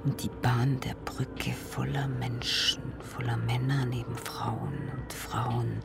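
Slow, creaky, half-whispering male reciter. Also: medium pitch, little pitch movement, distant mic. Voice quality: breathy, often half-whispered. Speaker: reader of poetic prose in an audio piece. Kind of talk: literary recitation in a radio sound composition. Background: music.

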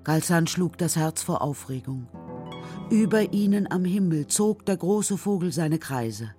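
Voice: medium pitch, lively intonation, unhurried, dark tone. A narrator telling a children's story in a scripted, literary radio play style. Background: music.

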